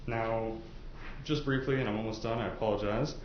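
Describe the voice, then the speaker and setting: A man speaking as a lawyer to prospective jurors, explaining and asking in an informal, halting, conversational way with plenty of hedging. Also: lowish voice, steady tone. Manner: halting, conversational, hedging with "I guess" and "you know". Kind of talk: informal courtroom questioning and explaining. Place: indoor courtroom. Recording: echoey courtroom, dull sound, faint noise.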